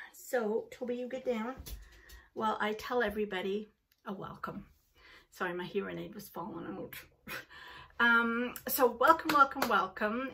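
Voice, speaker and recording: A woman's voice: medium pitch, fairly bright tone, far from the mic. A middle-aged woman from Liverpool, England, speaking with a Canadian accent, hosting a knitting podcast in a casual, digressive way and laughing easily. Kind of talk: casual chat to camera. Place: home craft room, indoors.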